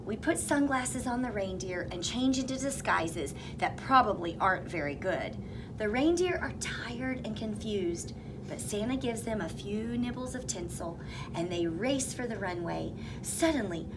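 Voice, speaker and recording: A woman reads a picture book aloud with lively expression, slipping into hushed whispers at tense moments. Her voice sits at an ordinary middle pitch. Clear background noise hangs under the whole recording. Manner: expressive, dropping to whispers for suspense. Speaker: reader. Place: elementary school.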